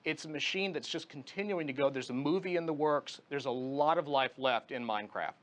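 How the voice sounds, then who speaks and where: A man's voice: fairly high pitch, fairly quick, a bit bright. A man speaking as a guest commentator in a live news interview, explaining his analysis point by point.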